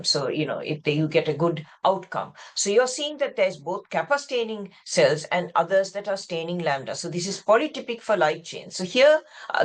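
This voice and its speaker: A woman from Sri Lanka with a slightly low voice delivers a specialist medical lecture. She explains things step by step in a discursive manner, often rewording a sentence halfway through. She speaks indoors over a video call. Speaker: pathologist lecturer.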